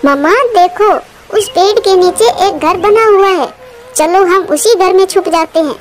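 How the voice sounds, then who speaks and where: A woman's voice: very high-pitched, unhurried, faint music behind. A woman voicing an eager, insistent little girl chick in a childlike cartoon manner.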